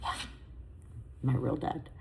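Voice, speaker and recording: A woman in her fifties with a raspy voice, a fitness coach chatting casually to camera in a home vlog, self-deprecating and loose. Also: takes it slowly, a little hiss or hum. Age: 50s. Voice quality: raspy, gravelly. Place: home garage on a treadmill.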